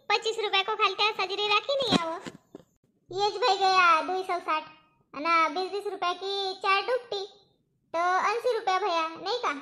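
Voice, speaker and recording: Woman voicing a very high-pitched, bright cartoon village wife. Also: full character voice. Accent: rural eastern Maharashtra, Balaghat-Gondia border region. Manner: itemizing, explaining, protesting. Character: thrifty village wife haggling over festival expenses. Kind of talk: cartoon comedy dialogue.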